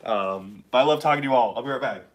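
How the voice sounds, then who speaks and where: A young man chatting on a live stream, nasal and dry-throated from a cold, rambling and reading viewer messages haltingly. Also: mid-range voice. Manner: rambling, chatty, reads chat aloud haltingly. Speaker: livestreamer.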